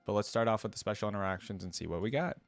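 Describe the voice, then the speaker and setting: Low-voiced man reacting casually. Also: very fast, no room sound, soft background music. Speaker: reaction video host.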